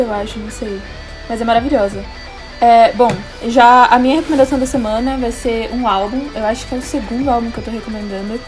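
High-voiced young Brazilian podcast hostess. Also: female voice, darkish tone. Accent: Brazilian Portuguese. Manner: enthusiastic, slangy. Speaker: podcast host. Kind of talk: casual podcast chat.

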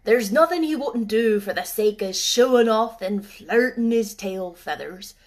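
A woman doing a gruff old Yorkshire gardener. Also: a little high, animated, measured pace. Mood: sarcastic. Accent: Yorkshire dialect. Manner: blunt, loud, drawling dialect speech. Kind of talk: storybook reading.

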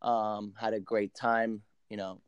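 A man's voice: lowish voice, flat delivery, slow pace, smooth, no echo, muffled recording. A man in his late twenties from Argentina, a native Spanish speaker, telling his story casually as a guest in an interview. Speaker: professional soccer player, podcast guest.

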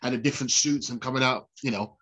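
A man's voice: medium pitch, fairly even intonation, fast, fairly bright tone. A man co-hosting a casual podcast while snotty with a cold, chatty and laughing often. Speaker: podcast co-host.

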